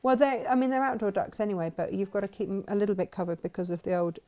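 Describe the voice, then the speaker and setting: A woman's voice: mid-range voice, quick pace, dark tone. A woman co-hosting a casual crafting chat, chatty and playful, laughing often as she tells stories. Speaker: co-host.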